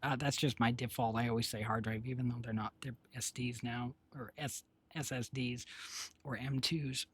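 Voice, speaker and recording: A man with a raspy, husky voice, hoarse today, chatting casually on a live stream. He rambles along with lots of fillers and restarts, at a mid pitch. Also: fairly even intonation, moderate pace. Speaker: streamer and developer.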